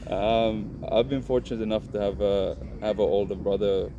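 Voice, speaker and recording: Man, thirties, gravelly, flat delivery, frank and unhurried, noisy background. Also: fairly low pitch, highs cut off. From Finland. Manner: calm, frank, thinks aloud with restarts. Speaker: professional footballer. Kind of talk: interview answers.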